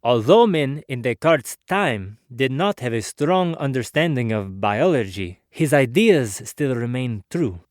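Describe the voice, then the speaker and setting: A man playing an earnest, philosophical inventor in a dramatic biography, speaking in a measured, formal, oratorical way. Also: mid-range voice, animated, no echo, clean studio sound, lightly acted. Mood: reflective, earnest. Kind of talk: dramatic biography narration, formal first-person monologue.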